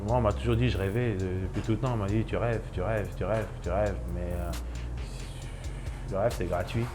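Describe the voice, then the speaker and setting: A man's voice: fairly low pitch, steady tone, a little fast, music in the background. A man in his thirties from Ivory Coast, a chef and restaurant owner, speaking in a documentary interview with many hesitations and restarts.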